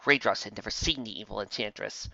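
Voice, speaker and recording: Bright, forceful male story narrator. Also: lowish voice, quick pace, vocal fry, no room sound, highs cut off. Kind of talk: dramatic scripted narration.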